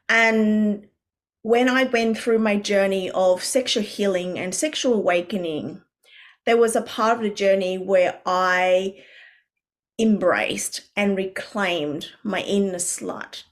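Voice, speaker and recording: A woman's voice: medium pitch, slow. A woman from Vietnam, guest on a podcast as a coach, talking in an earnest, reflective way with many fillers and restarts. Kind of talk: podcast interview.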